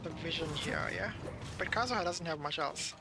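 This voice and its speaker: A man's voice: on the high side, fairly quick, gravelly. A man streaming a video game, giving casual, musing and somewhat hesitant live commentary. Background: game music.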